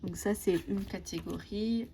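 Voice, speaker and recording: Woman, Italian native, card-deck vlogger, halting and filler-heavy, mid-pitched voice, audible background noise. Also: mellow. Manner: hesitant, many fillers and restarts. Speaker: tarot and oracle deck reviewer. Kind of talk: casual show-and-tell vlog.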